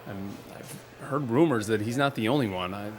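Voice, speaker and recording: A man's voice: on the low side, normal speed, a little hiss or hum, close to an everyday voice. An actor playing an agitated, defensive man in an audio drama, stammering and insistent. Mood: uneasy. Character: sick, agitated man resisting being taken away, defensive.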